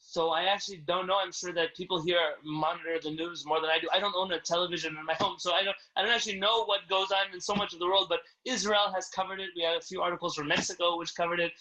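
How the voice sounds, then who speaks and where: A man's voice: flat delivery, quick pace, hardly any pauses, bright, forceful tone. A man speaking as a rabbi, answering community questions on a video call in a courteous, inviting way. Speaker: rabbi leading a community address. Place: indoors on a Zoom video call. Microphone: video call microphone. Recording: low-quality video call audio.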